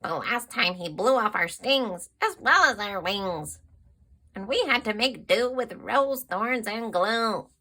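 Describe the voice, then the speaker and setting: A woman's voice: mid-range voice, expressive, takes it slowly, pushed and bright, full character voice. A woman voicing a group of worried bees in a storybook, fussy in tone. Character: anxious buzzing bees.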